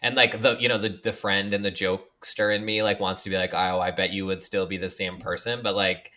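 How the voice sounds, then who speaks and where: This is a man co-hosting a podcast, casual and playful, leaning on fillers as he thinks aloud. His voice is bright and forward in tone. It sits a little low in pitch. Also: little pitch movement, a little fast. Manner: chatty, filler-heavy, playful. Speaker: podcast co-host. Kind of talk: casual chat.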